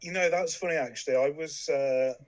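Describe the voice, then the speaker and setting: A young man with an English accent, a guest in a casual chat, relaxed with lots of ums and dry humor. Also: a little high, fairly quick. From England. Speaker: podcast guest.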